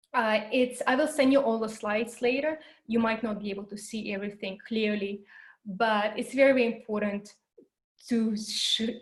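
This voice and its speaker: A woman who grew up in New Zealand, giving an online educational talk in an earnest way, with many fillers and repeated words. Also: medium pitch. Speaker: workshop presenter. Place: home, Berlin.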